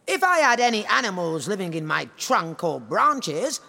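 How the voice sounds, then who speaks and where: A woman's voice: medium pitch, very animated, normal speed, gravelly, pushed and bright, big-room sound, pitched above the performer's own voice, full character voice. A woman voicing a vain, haughty talking oak tree that turns everyone away in a snooty, self-satisfied way.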